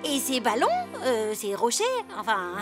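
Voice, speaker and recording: A woman voicing an excitable, competitive female animal in a children's cartoon, flustered and exclamatory. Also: fairly high pitch, measured pace, over music. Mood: flustered, apologetic.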